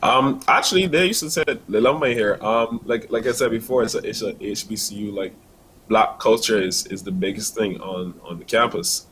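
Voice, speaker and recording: Young Barbadian man, low voice. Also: moderate pace, a bit bright, slight background noise, low-quality recording. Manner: earnest, many fillers and restarts. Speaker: university student guest. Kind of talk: casual interview answers.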